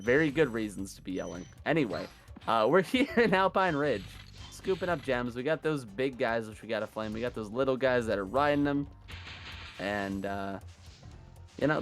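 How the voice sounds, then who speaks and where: A man commentating a live gaming marathon in a casual, chatty, self-deprecating way, his voice hoarse from days of yelling. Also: medium pitch.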